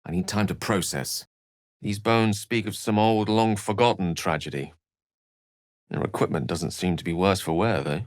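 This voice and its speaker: A male actor plays a learned, self-possessed wizard from a fantasy video game. His voice sits a little low in a dry, studio-clean space. He shapes ornate phrases with care, theatrical flair and dry wit. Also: moderate pace, dry recording, close to an everyday voice. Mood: thoughtful. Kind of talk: theatrical game dialogue in short separate lines.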